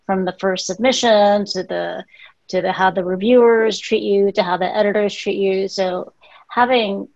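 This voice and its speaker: A woman's voice: mid-range voice, dry recording. A middle-aged woman, a journal editor, speaking earnestly and reflectively on a panel over a video call. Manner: earnest, reflective, trailing into quiet asides. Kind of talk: panel discussion. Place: small home office. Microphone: video call with earbuds. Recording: dry, muffled video call audio.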